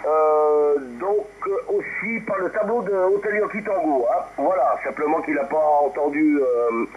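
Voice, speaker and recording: A man's voice: fairly high pitch, measured pace. A man chatting casually and ramblingly as controller of an amateur radio net, heard over radio. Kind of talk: casual ham radio chat, roll call. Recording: muffled, very noisy shortwave radio reception.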